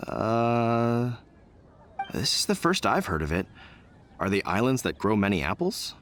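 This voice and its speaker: A polite, earnest guard from a video game. His medium-pitched voice moves expressively, with faint ambient noise behind it. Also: sing-song, measured pace, fairly bright tone. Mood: puzzled, apologetic. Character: apologetic courteous male city guard. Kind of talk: game voice acting.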